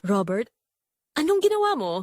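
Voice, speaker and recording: A woman's voice: high voice, big pitch swings, moderate pace, dry recording, studio quality, pitched above the performer's own voice. A woman playing a guilt-ridden, desperate unfaithful wife in a romance drama, pleading in a trembling manner. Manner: pleading, trembling, faint to near-shouting.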